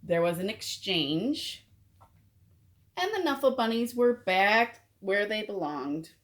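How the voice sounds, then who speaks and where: A woman reading a picture book aloud to children as an expressive storyteller. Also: mid-range voice, slow pace.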